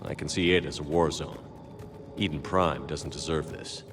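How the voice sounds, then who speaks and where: An actor playing a grave, direct military commander in a video game. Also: male voice, extremely low pitch, steady tone, moderate pace. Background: background music.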